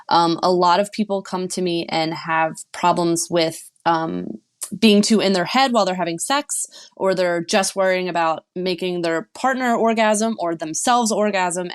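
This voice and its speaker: Young woman coach, low voice, chatty. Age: young adult. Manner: earnest, giggly, many fillers. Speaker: sex and relationship coach, guest. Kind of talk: casual podcast chat.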